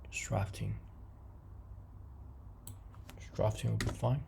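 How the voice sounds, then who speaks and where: Man, non-native English speaker, coding tutorial host, gravelly, hesitant with fillers, faint background hiss. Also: fairly low pitch, steady tone. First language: not English. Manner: halting, many fillers and restarts, friendly; chuckles often. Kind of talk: casual screen-recorded coding tutorial.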